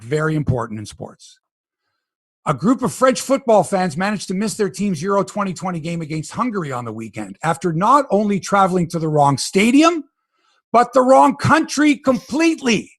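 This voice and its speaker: A man's voice: sits high, a bit bright, dry recording, clean studio sound. A middle-aged Canadian man hosting a sports podcast, opinionated and sarcastic in casual talk. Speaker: podcast host.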